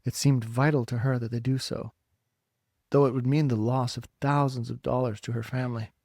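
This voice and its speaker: A man narrating a literary story dramatically, in deliberate, separate phrases. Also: mid-range voice, fairly even intonation, a little mellow, dry recording, clean studio sound. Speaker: audiobook narrator.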